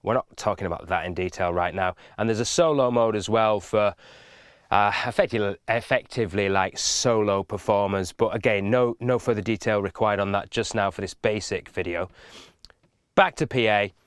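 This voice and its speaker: A man's voice: on the low side, moderate pace, clean tone, no room sound, clean studio sound. A man giving an informal step-by-step video tutorial in a methodical, instructive manner.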